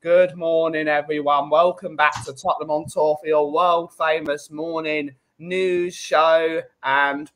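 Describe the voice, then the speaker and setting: A man's voice: a little high, level tone, measured pace, hardly any pauses, a bit bright, a little hiss or hum. A man, hosting a casual chat show over a video call. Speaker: host. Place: home room with brick wall.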